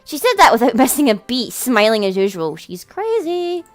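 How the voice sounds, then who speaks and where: A woman's voice: fairly high pitch, big pitch swings, normal speed, no echo. A woman reading a visual novel aloud as a let's play host, with casual reactions of her own. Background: game music.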